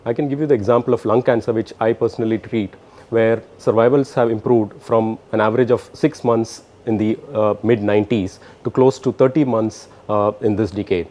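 A man's voice: lowish voice, steady tone, fairly quick, dark tone. A middle-aged man with an Indian accent, a physician explaining things in an interview to camera. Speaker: cancer physician. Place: book-lined office indoors. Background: faint music.

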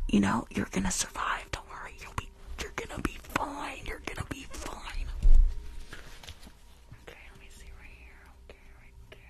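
A woman playing a rude, impatient, crooked dentist in a whispered ASMR roleplay, muttering bossy, repeated orders in a breathy voice. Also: medium pitch, a bit bright, exaggerated voice. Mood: falsely reassuring. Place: small indoor room, livestream setup.

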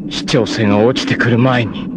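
A man's voice: medium pitch, steady tone, moderate pace, close to an everyday voice. A man voicing a proud, doomed young martial-arts warrior bent on revenge in a 1980s anime, with intense, theatrical, solemn delivery. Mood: grave, resolute. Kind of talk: dramatic 1980s anime voice acting. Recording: noisy old TV anime soundtrack clips.

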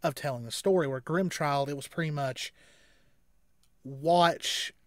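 A man in his thirties giving casual, rambling reaction commentary as a co-host, halting and repeating words as he explains. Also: on the high side, a bit bright, no room sound, clean studio sound. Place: small indoor room at home.

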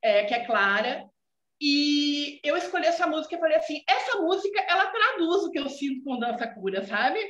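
A Brazilian woman in her forties with a gaúcha accent speaks earnestly from home over a video call, her voice set high. Her sentences keep ending on a questioning "né". The call sounds dull and echoey. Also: sing-song, moderate pace. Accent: Brazilian Portuguese, gaúcha. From southern Brazil. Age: 40s. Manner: warm, earnest, many filler "né" tags. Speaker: student guest. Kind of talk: casual video call chat. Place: home room indoors. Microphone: video call laptop mic.

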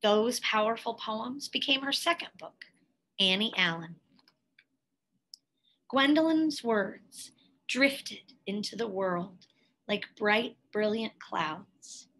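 A woman reading a picture book aloud expressively, in a measured way with dramatic pauses and emphasis. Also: on the high side, lively intonation, pushed and bright, poor audio. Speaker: read-aloud storyteller, teacher.

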